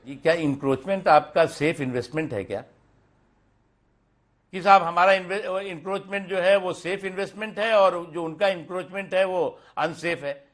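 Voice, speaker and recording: A man giving off-the-cuff political remarks in a colloquial, halting way, often repeating words. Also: high-pitched.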